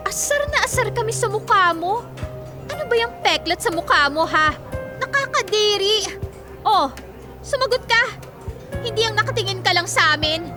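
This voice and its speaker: An actress playing a snobbish young office bully in a Filipino radio drama, sneering with disgust and mockery. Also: female voice, very high-pitched, lively intonation, normal speed. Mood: disgusted, scornful. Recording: music bed under dialogue.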